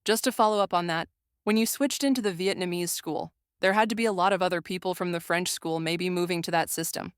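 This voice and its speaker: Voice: mid-range voice, fairly quick, clear, resonant tone, dry recording, clean studio sound. A young adult interviewer asking prepared questions and follow-ups in a polite, formal way.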